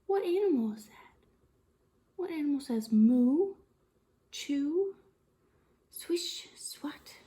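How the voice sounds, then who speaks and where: A woman leads an interactive storytime for young children. Her voice is high and very animated, rising and dipping in a sing-song way. She takes her time, drawing words out very slowly and deliberately as she reads and puts questions to her listeners. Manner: sing-song, slow and deliberate, with exaggerated expression and frequent questions. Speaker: children's storytime reader and teacher. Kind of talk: interactive read-aloud of a picture book for young children.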